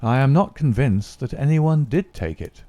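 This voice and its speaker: A man voicing a cool, confident male detective who speaks in a calm, dry, understated way. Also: mid-range voice, normal speed, dry recording, pitched above the performer's own voice, lightly acted.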